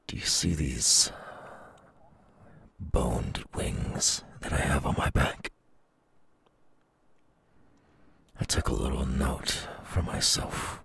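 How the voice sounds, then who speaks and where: A man voicing a menacing, gloating young demon villain in an ASMR audio roleplay, with a husky, breathy, gravelly voice. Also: a bass voice, fairly bright tone, no room sound, exaggerated voice. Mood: gloating menace.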